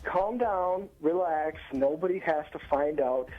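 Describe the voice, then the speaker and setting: A man on a phone call to a radio show, nervous and defensive, with a husky voice. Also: fairly high pitch, measured pace. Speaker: caller. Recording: tinny phone line with music.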